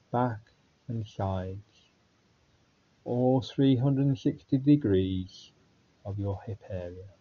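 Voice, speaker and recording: A man guiding a body scan meditation in a calm, unhurried way with long pauses. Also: mid-range voice, muffled recording. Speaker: meditation guide.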